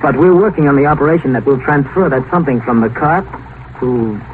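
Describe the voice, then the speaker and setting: A man's voice: mid-range voice, fairly quick, lightly acted. Brash, cynical doctor played by a man, crackly old radio. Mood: eager, confident. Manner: breezy, sardonic, domineering. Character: brash, cynical male doctor and longevity researcher. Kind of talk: 1950s radio drama acting. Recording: noisy, tinny old 1950s radio broadcast.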